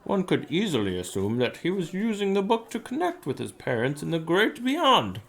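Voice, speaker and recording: An actor plays a genteel antiquarian dealer in an audio drama. The speech is courtly and theatrical, swooping widely in pitch. Every phrase is a sly, polite sales pitch. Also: wildly swooping pitch, moderate pace, creaky, a bit bright, full character voice. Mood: intrigued, musing. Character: genteel, sly antiquarian shop owner selling a rare book.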